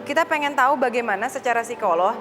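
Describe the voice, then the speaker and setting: A woman's voice: on the high side, quick pace, big-room sound, background noise. A woman hosting a talk show, chatting casually with guests and prompting them with many fillers. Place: studio set styled as a family room.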